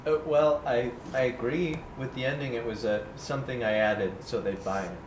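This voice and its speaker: A man's voice: mid-range voice, steady tone, normal speed, lightly acted. Guy playing an earnest writer at a staged reading, mid voice, roomy and noisy recording. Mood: hesitant. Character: earnest male writer presenting his own story. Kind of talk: staged reading, literary and dramatic. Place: indoor room at a staged reading.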